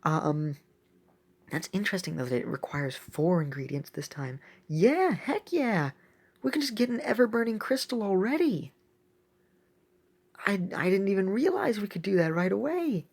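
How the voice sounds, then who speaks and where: A woman giving casual, excitable gameplay commentary as a video host, thinking aloud as she plays. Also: mid-range voice, normal speed, breathy edge. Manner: excitable, exclaiming often; thinks aloud while playing.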